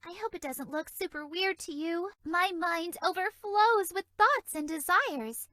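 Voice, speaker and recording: Very high, sweet naive fairy girl. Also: unhurried, pushed and bright, dry recording, clean studio sound, full character voice. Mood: bewildered, anxious. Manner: bubbly, innocently suggestive, airy. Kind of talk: video game character dialogue.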